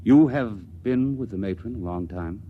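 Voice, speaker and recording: A man's voice: fairly low pitch, normal speed, phone-quality sound, a little hiss or hum, close to an everyday voice. A man playing an earnest young attendant. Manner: earnest, restrained. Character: young male attendant, curious and restrained. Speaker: character in a 1950s radio play. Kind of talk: 1950s radio acting.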